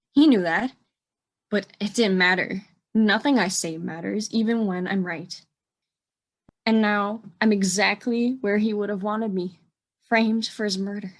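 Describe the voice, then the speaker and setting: A woman's voice: mid-range voice, natural voice. A woman acting in an audio drama as a bitter, defiant young murder suspect, indignant and sardonic.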